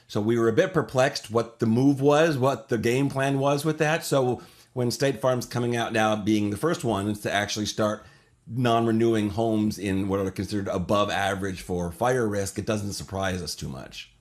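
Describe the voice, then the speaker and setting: A man's voice: lowish voice, moderate pace. A man speaking as an insurance broker over a video call from a home office, explaining frankly and with emphasis. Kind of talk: interview answers.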